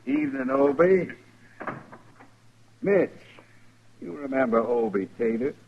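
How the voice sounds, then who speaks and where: A man playing a menacing, hot-tempered outlaw leader in a radio western, rough and threatening in speech. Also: mid-range voice, expressive, slow. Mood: casual, wary. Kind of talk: 1950s radio western acting. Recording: echoey, muffled, noisy radio recording.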